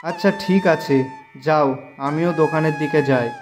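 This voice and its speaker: A man voicing an honest, gentle, struggling village shopkeeper in a cartoon, earnest and pleading in delivery. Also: on the high side, moderate pace, over music, lightly acted. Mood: weary. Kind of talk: cartoon voice acting.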